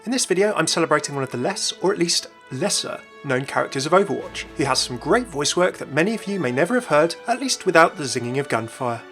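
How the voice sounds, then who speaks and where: A man's voice: on the high side, lively intonation, fast talker, few pauses, fairly bright tone. A man reading scripted narration as the presenter of a gaming video. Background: background music.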